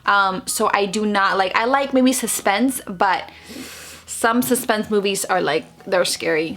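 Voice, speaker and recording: A woman's voice: medium pitch, fairly bright tone. A woman whose first language is Spanish, chatting casually on camera from her bedroom, gesturing as she talks, with lots of fillers and restarts. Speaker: vlogger. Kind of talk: casual facts-about-me vlog. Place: bedroom at home, indoors. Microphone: camera close in front, seated.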